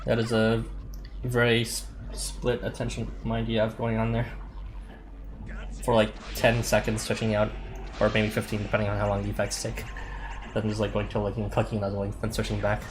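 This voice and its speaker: A man with a hoarse voice chatting casually and thinking aloud while he plays a game. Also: lowish voice, monotone, fairly quick, noisy background. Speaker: game streamer. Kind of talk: casual chat while gaming. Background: music.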